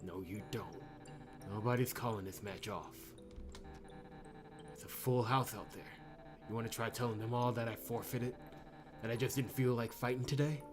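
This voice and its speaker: A man's voice: medium pitch, steady tone, normal speed, pauses often, lightly acted. Guy doing a cocky sweary lady wrestler from a game, stubborn, music behind. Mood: defiant. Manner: cocky, profane, combative. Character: brash, foul-mouthed, stubborn female wrestler.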